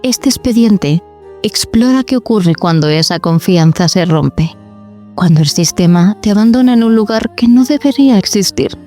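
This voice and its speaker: A woman with an accent from Spain, hosting with measured, enigmatic, formal scripted narration. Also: medium pitch, warm tone. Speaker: podcast host.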